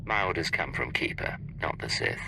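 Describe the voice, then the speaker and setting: An actor playing a cool, defiant secret agent in a video game, with a dry, unflinching manner. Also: male voice, low voice, expressive, normal speed, background noise. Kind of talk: scripted video game acting.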